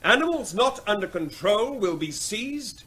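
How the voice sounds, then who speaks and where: A firm official vet addressing a crowd. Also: male voice, sits high, very animated, unhurried, bright, forceful tone, full character voice. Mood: insistent. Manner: briefing a hostile meeting, raising his voice over heckling. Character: firm male regional veterinary officer. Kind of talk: vintage radio drama acting.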